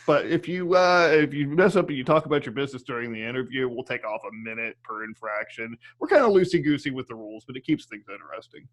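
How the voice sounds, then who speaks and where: A man's voice: mid-range voice, quick pace, hardly any pauses. A man hosting a podcast with casual interview chat, easygoing and often stammering over repeated words. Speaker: podcast host.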